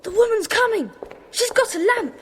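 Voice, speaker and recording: A woman's voice: extremely high pitch, animated, normal speed, a little hiss or hum. A woman playing an earnest, inquisitive young schoolboy detective in a children's audio drama. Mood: curious. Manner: earnest, questioning. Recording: long echo of a stone dungeon.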